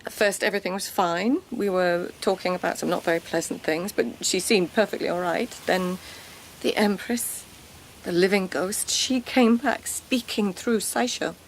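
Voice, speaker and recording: An actress playing an anxious, dignified palace lady in an audio drama, speaking formally with a troubled, breathy manner. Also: medium pitch, normal speed, a little hiss or hum, natural voice, poor audio. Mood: troubled, defensive.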